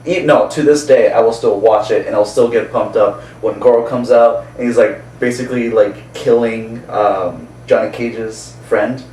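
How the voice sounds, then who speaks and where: A man's voice: on the low side, normal speed, few pauses, darkish tone. A man talking casually and enthusiastically about movies, often chuckling as he speaks, recorded roomy and distant. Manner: enthusiastic, chuckling as they talk. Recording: roomy, distant mic, faint background noise.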